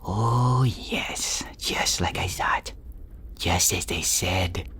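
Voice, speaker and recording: A man's voice: deep voice, drawn-out words, full character voice. Man playing a whispering, nervous servile henchman. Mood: curious, probing. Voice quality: hushed, whispery. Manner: hesitant, deferential, wheedling. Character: nervous, servile male assistant to an inquisitor. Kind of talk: fantasy audio role-play acting.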